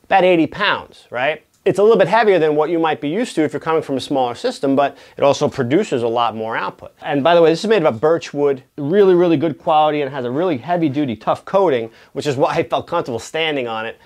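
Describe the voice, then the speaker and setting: Guy doing a casual gear review, voice a bit on the high side, chatty and explaining stuff. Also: fast talker, few pauses, a little mellow. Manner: conversational and explanatory, with checking tags like "right?". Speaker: product reviewer. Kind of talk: casual product review to camera.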